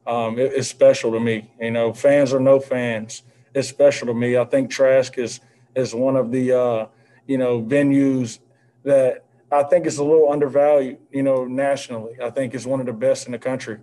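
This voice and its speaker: A basketball head coach in his thirties speaks in a mellow, warm voice, earnest and measured. He leans on fillers as he works through his answers over a video call. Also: mid-range voice, flat delivery. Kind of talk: postgame press conference answers. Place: indoor press backdrop room. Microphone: video call, seated at desk with mask.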